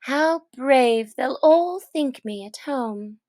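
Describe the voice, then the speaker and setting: A woman's voice: high-pitched, expressive, slow pace, a little mellow. A woman voicing a curious, chatty young girl who muses to herself as she wonders about things, in a storybook reading. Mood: musing. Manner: thoughtful, wondering musing to herself. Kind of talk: storybook character voice.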